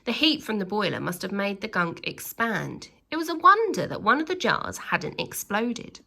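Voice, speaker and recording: A woman reading a children's story aloud expressively, with theatrical, drawn-out emphasis on key words. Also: medium pitch, big pitch swings, creaky, pushed and bright.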